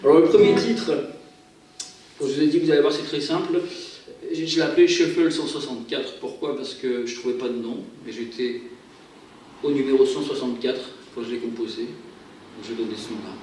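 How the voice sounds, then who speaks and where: Relaxed French pastor in echoey church. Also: male voice, mid-range voice, a little fast, slight background noise. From France. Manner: relaxed, chatty, self-deprecating, many hesitations. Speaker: pastor and guitarist. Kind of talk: informal church talk introducing music. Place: church hall. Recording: very echoey hall, far from the mic.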